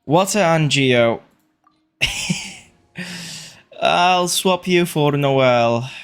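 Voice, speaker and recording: A man livestreaming a video game, casually thinking out loud in short muttered remarks. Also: a little high, wildly swooping pitch, extremely slow. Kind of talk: casual gameplay commentary.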